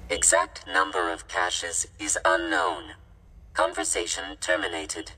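An actor voicing a flat, clipped male robot analyst in a game, with a robotic filter. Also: on the high side, unhurried, creaky, big-room sound, a little hiss or hum, exaggerated voice, poor audio. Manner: monotone, clipped machine phrasing.

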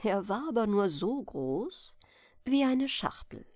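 A woman reads a scripted bedtime fairy tale. Her pitch is fairly high and moves with plenty of melody, and she takes her time with careful, expressive phrasing. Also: slow, dry recording, studio quality. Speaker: storyteller.

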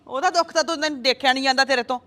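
A woman's voice: fairly even intonation, rapid-fire, fairly bright tone. A woman playing a lamenting older mother. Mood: indignant, aggrieved. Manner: pleading, lamenting, pitch rises in arguments. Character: grieving older mother, defensive, talks back. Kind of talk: family drama skit.

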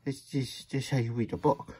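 A man voicing a childlike, fretful and grumbly plush hedgehog who hosts a puppet story time, stammering through exasperated asides with a husky voice. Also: medium pitch, steady tone, moderate pace, dark tone, close to an everyday voice. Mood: reluctant, fretful. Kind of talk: puppet read-aloud with chatty asides.

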